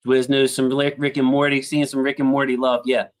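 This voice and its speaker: A man's voice: little pitch movement, brisk. Chatty man hosting a football livestream. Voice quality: self-described squeaky voice. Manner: chatty, warm toward viewers, thinks aloud with fillers. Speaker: football channel host reading live chat. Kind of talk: casual livestream commentary.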